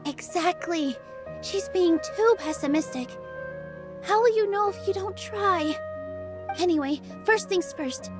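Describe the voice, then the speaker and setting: Very high-voiced gentle young dancer. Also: female voice, unhurried, music in the background. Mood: earnest, determined. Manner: gentle, earnest. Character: gentle, earnest young female theater dancer. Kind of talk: video game voice acting.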